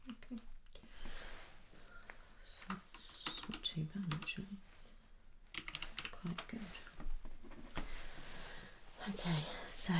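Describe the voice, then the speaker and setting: A British woman performs an ASMR doctor role-play in a soft, mostly whispered voice. Her pace is very slow and her pitch is on the low side, with a gentle, reassuring manner. There is some audible noise in the recording. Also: creaky.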